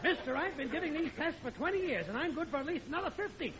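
An actor playing a stern, exasperated middle-aged American driving examiner in an old radio sitcom, speaking in an official, deliberate way. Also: male voice, extremely high pitch, animated, a loud crowd, very noisy. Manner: deliberate, official, building to indignant speeches. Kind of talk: 1950s radio sitcom acting. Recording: muffled old radio recording with studio audience.

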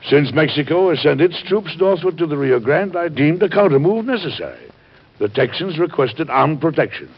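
A man playing a dignified, courteous statesman, kindly but firm, with formal measured phrasing and a gravelly voice in an old-time radio drama. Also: on the high side, animated. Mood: resolute. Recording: dull, band-limited old radio recording.

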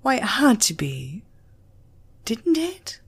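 A woman's voice: fairly high pitch, wildly swooping pitch, slow, creaky, breathy edge. A woman with a British accent giving a dramatic narration of a scripted story. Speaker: audiobook narrator.

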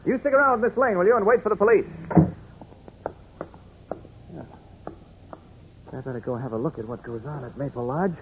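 An actor playing a brisk, confident newspaper reporter who questions and commands, in 1940s radio style. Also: male voice, fairly high pitch, lightly acted. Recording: noisy, muffled old radio recording.

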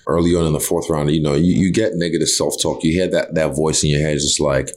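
A man's voice: extremely low pitch, level tone, quick pace. A man whose first language is Yoruba, a fighter talking casually and intensely in an interview, with loose swearing.

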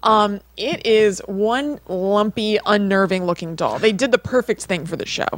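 A woman's voice: medium pitch, expressive, moderate pace. A woman co-hosting a podcast, telling stories in a chatty, informal way peppered with "like". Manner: chatty, informal, frequent use of "like". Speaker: podcast co-host. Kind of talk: casual podcast storytelling.

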